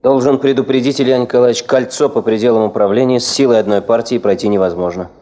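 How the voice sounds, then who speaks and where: A man plays an eager young field engineer in a radio drama. He talks fast in a soft, mellow voice. He comes across as self-assured and a little defensive. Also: medium pitch, steady tone, natural voice. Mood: eager, reporting. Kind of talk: radio play acting.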